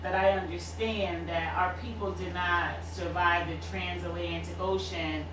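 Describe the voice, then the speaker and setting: A woman in her forties, a political candidate, speaking earnestly and at measured length as a guest on a radio show. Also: lowish voice, steady tone, echoey room, distant mic, noisy background. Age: 40s. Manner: earnest, measured, speaks in long reflective runs. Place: radio studio.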